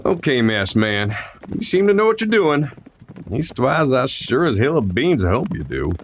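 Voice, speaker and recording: A man playing an excitable, folksy old-west sheriff in a radio play, blustery and grumbling, with a twangy American Western drawl. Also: mid-range voice, unhurried, slight background noise. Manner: blustery, exclamatory cowboy slang.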